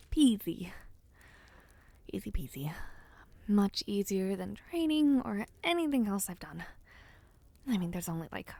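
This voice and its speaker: A woman acts out a young noblewoman in an intimate audio roleplay. She takes her time, with a soft, breathy delivery that often frays into creak. She sounds affectionate and hesitant, close and dry, as if speaking to one dear friend. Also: medium pitch, no echo. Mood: nervous, self-soothing. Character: affectionate young noblewoman, playful but nervous before her debut. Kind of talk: intimate audio roleplay acting, addressing the listener.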